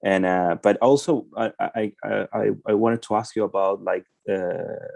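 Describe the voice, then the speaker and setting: A man from Chile, a translator, asking questions on a video call in a halting way, often repeating words. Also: on the low side, vocal fry, a little mellow, no echo. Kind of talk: online literary conversation.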